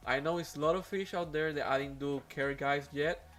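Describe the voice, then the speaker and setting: A man's voice: fairly high pitch, steady tone, moderate pace, fairly bright tone, faint music behind. A man whose first language is Spanish, speaking English with a Spanish accent as host of an aquarium live stream, talking casually with many fillers and restarts.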